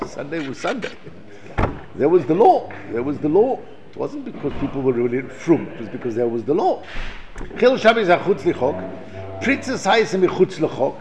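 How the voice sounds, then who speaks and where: A man's voice: fairly high pitch, vocal fry. A man from South Africa teaching a Torah class, reading Hebrew passages and explaining them in English, with frequent restarts and repeated words. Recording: lo-fi, dull recording.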